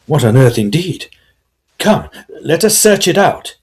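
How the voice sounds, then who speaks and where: A man playing an earnest gentleman ghost hunter who narrates a period Gothic audio drama in formal, old-fashioned phrases. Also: fairly high pitch, sing-song, a little slow. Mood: wry, curious.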